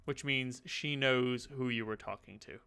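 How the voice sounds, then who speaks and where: A man's voice: medium pitch, a little slow, gravelly, bright, forceful tone, smooth. A man in casual chat as game master, teasing his players dryly over an online voice call. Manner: dry, teasing.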